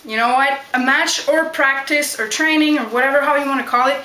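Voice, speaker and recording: Young woman doing a q&a vlog, high bright voice, echoey room. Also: a little fast. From Montreal, Quebec, Canada. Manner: chatty, direct, keeps asking "you know what?". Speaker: backyard wrestler. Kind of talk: casual Q&A vlog.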